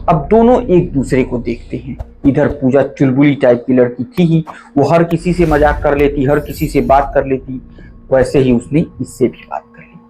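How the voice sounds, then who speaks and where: A man's voice: a little high, darkish tone, rough recording. A man narrating a true crime story in an earnest, emphatic storytelling manner, speaking to listeners as friends.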